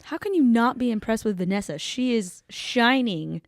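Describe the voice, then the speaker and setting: Creaky-voiced woman chatting casually. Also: a little high, lively intonation, normal speed, no echo, studio quality. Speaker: podcast guest. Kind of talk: casual reality-show chat.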